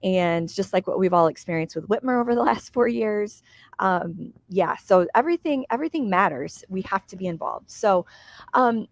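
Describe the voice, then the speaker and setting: Hoarse woman chatting on a live stream. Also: mid-range voice, expressive, moderate pace. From Michigan. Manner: chatty, laughs between phrases, many fillers. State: hoarse from a cold. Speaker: co-host of a live political chat. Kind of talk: casual live-stream chat. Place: outdoors.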